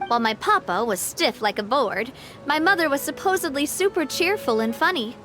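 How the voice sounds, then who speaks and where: A high, youthful voice as an earnest young woman who leads with brave composure and a wistful streak. Her game dialogue is expressive and measured, with music playing softly behind it. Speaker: video game voice actor.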